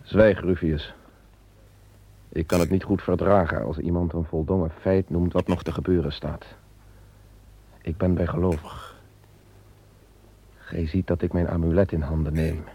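An actor playing a superstitious, brooding emperor in a radio play, speaking in a grave, measured way with a husky voice. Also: male voice, sits low, flat delivery, mellow, heavily acted. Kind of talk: radio drama acting.

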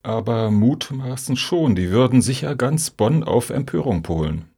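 An actor playing a sardonic, suspicious rival applicant in a satirical radio play, muttering dry asides. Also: male voice, mid-range voice, lively intonation, measured pace, gravelly, dark tone, breathy edge, heavily acted. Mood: mocking.

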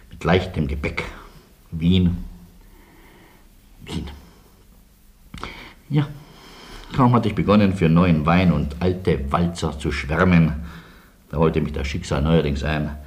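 An actor playing a courteous, ironic Polish-born refugee and sunny survivor in a German radio drama. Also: male voice, deep voice, darkish tone, exaggerated voice.

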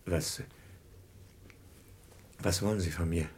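A man's voice: deep voice, fairly even intonation, slow, a little mellow, husky, full character voice. A man acting a confident, condescending fugitive doctor in a 1960s German radio crime drama. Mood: wary, startled. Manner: suave, condescending, theatrical. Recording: faintly noisy 1960s radio recording.

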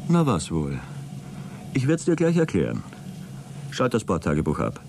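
A man's voice: deep voice, huge pitch swings, moderate pace, vocal fry, background noise, exaggerated voice. A man playing a prim, defensive astronaut with a stilted, pompous manner in a satirical science fiction radio drama. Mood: stiff, official. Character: prim, defensive male astronaut keeping a formal log.

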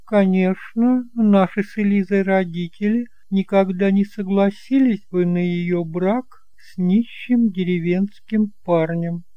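Audio drama aunt , played by a man: middle-aged widow, pitched up high, exclamatory, gushing yet snobbish. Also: a little slow, few pauses, clear, resonant tone, dry recording, dull audio. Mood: dramatic, indignant. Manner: theatrical, exclamatory.

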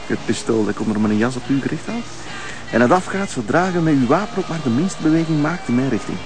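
A man's voice: mid-range voice, expressive, fast, music in the background, lightly acted. A man playing a cold, mocking, controlled hostage-taker in a television crime drama. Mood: menacing. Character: cold, taunting male villain holding a hostage.

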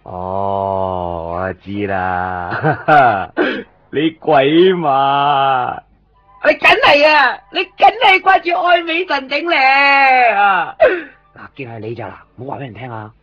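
A man acting an eager, chatty toy shop owner in a radio drama, rambling excitedly in Hong Kong Cantonese. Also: mid-range voice, slow pace, highs cut off. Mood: teasing, confiding. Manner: excitable, rambling, fond of showing off toys. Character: eager, chatty male second-hand toy shop owner, kind to customers. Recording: band-limited 1990s radio recording with faint music.

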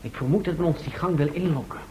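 An actor in a radio drama playing an anxious, suspicious, fussy adventurer with a cautious and formal manner. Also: male voice, a little high, fast talker, mellow, lightly acted. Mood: wary. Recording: noisy old radio play recording.

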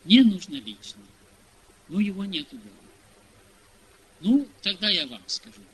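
A man's voice: high voice, very animated, a little slow. A man preaching in a conversational storytelling style, asking rhetorical questions and acting out bits of dialogue, on a hissy recording. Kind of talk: sermon told through anecdotes and dialogue. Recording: hissy, noisy amateur audio recording.

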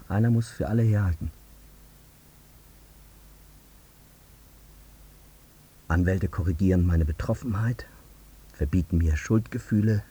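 An actor playing a guilt-ridden, bitterly self-justifying air traffic controller in a radio drama monologue, brooding and intense in clipped sentences. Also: male voice, low-pitched, warm tone, husky, heavily acted, poor audio. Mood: haunted, subdued.

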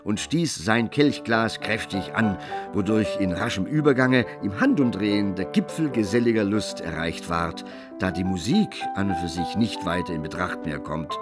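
Man as composed radio play narrator, lowish voice, old novella style, loud strings behind. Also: lively intonation, normal speed, music in the background, lightly acted.

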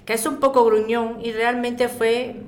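A woman's voice: on the high side, flat delivery, a little slow, lightly acted. A woman with an accent from Spain acting a chatty, curious neighbour in an audio drama. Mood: chatty, reassuring. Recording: echoey room.

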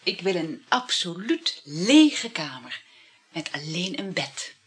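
An actress in a radio play voicing a nonchalant, questioning married woman with lovers, in a husky voice. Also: lowish voice, big pitch swings, slow pace, exaggerated voice. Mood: breezy, tired.

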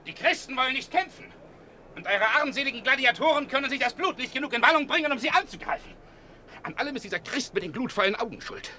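An actor playing a pompous, capricious Roman emperor in a German radio play, theatrical and commanding. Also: male voice, very high-pitched, animated, quick pace, pushed and bright, a little hiss or hum, exaggerated voice. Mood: furious, commanding. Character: capricious, grandiose Roman emperor, swinging from rage to flattery.

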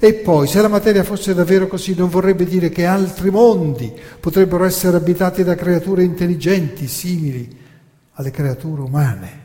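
Male narrator as defiant philosopher on trial: higher, insistent, argumentative delivery, ringing hall, theatrical monologue. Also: normal speed, dark tone, close to an everyday voice. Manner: emphatic, argumentative, rhetorical. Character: defiant accused male philosopher arguing before his judges. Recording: long reverberant hall sound.

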